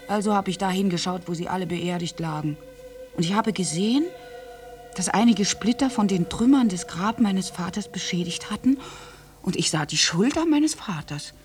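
Intense, haunted young war orphan played by an actress. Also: female voice, medium pitch, close to an everyday voice. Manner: halting, intense, dropping into breathy whispers. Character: war-scarred orphaned young woman, bitter, haunted, confessing. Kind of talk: dramatic radio play monologue. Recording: music behind the voice. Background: music.

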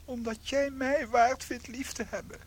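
A woman playing a tiny shrunken unfaithful husband in a radio play, theatrical, anxious and guilt-ridden, with a high husky voice. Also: fairly even intonation, moderate pace, slight background noise, close to an everyday voice. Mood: anxious, ashamed.

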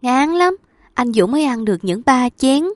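A woman doing a distraught young woman, high and shrill. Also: animated, normal speed, dry recording, studio quality. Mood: childlike pleading. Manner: high-pitched, loud, pleading. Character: distraught young woman patient pining for lost love.

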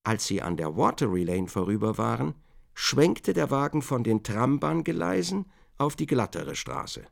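A man's voice: medium pitch, very animated, normal speed, creaky. A man narrating a literary radio drama from a script.

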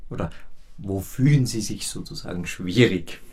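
An actor playing a courteous, gently questioning couples counsellor with a husky voice in improvised radio comedy. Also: male voice, sits low, moderate pace. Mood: probing. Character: polite, probing male couples counsellor.

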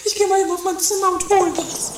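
A performer voicing a drunken, theatrical, murderous aristocratic widow in a high, whining, haughty falsetto for a trashy improvised horror comedy radio play. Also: little pitch movement, fast talker, reverberant, noisy background. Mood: indignant, menacing. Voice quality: very high-pitched put-on falsetto.

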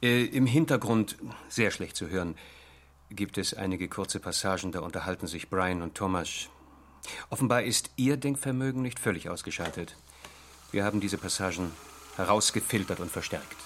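A man's voice: sits low, normal speed, a bit bright. A man playing an earnest research analyst in a science fiction radio drama, explaining matter-of-factly with some hesitation. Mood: measured, uneasy. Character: earnest male research analyst, measured and slightly uneasy.

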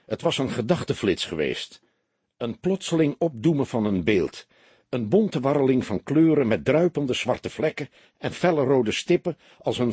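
A very husky, rough-edged male narrator reads crime fiction. His pitch moves in a lively way as he builds suspense with measured, expressive phrasing. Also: dry recording. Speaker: audiobook narrator. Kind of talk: dramatic scripted crime story narration.

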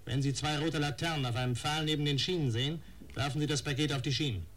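An actor playing a cold, menacing blackmailer in a German radio crime drama, giving curt, taunting commands. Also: male voice, on the high side, fast talker, a bit bright. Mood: cold, threatening.

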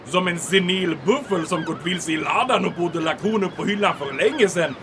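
A man's voice: sits high, noisy background, close to an everyday voice. A man playing a pompous, fawning military commandant in a comic audio drama, easily flustered and ingratiating. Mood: smug. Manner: pompous, ingratiating, peppers talk with German words.

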